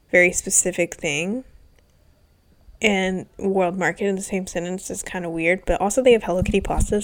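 A young woman with a stuffy, congested nasal voice chats casually about her week. She rambles loosely, filling gaps with ums and dropping the odd curse word. Her pitch sits right in the middle for a woman. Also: a little mellow. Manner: rambling, swearing, lots of ums. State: congested, sick with covid. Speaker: vlogger. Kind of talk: casual personal chat.

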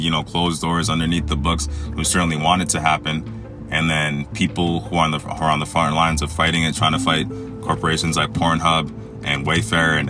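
A man from Egypt hosting a solo podcast, reading a text aloud and commenting on it in a halting way with many fillers and restarts. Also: a bass voice. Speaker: podcast host. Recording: music playing underneath.

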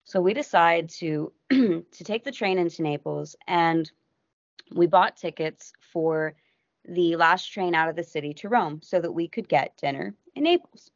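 An American woman, a podcast guest, telling a travel story in a casual, animated way that keeps breaking off and acting out what people said. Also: fairly low pitch, a little slow, no room sound. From United States. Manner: animated, self-interrupting, acts out quotes. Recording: dull lo-fi audio.

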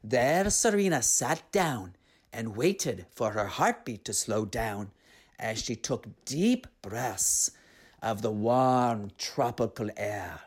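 A woman reading a story aloud as an expressive narrator. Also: a bass voice, takes it slowly, breathy edge.

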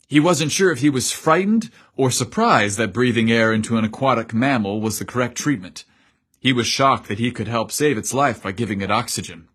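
A man reads a story aloud in a measured, descriptive way. His voice has a husky, breathy grain and sits in a middle register. The delivery is that of scripted narration. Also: mid-range voice, fairly bright tone.

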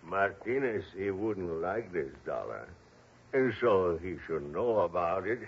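A very deep-voiced henchman gloats slowly. He sounds sinister, and the old 1960s radio recording is dull and noisy. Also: expressive. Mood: sinister, gloating. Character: sinister, gloating male henchman of a crime boss. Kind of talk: 1960s radio drama acting.

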